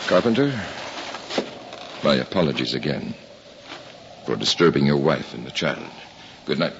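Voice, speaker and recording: An imperious Roman officer in a golden-age radio play, speaking with formal, suspicious authority. The delivery is animated, and the muffled recording carries clear background noise. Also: male voice, a bass voice, normal speed, exaggerated voice. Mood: measured, formal. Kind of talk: 1950s radio drama acting.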